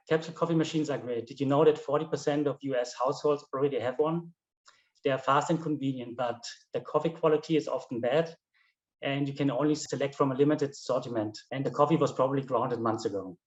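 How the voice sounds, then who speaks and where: A man from Germany with a German accent, giving a rehearsed, earnest product pitch and demonstrating a device. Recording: roomy, dull lo-fi sound.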